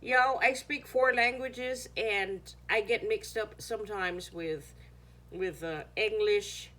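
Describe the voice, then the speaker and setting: A woman's voice: medium pitch, takes it slowly, fairly bright tone. A woman whose first language is Chamorro, chatting casually through a home how-to video, sometimes searching for words. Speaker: home cooking vlogger.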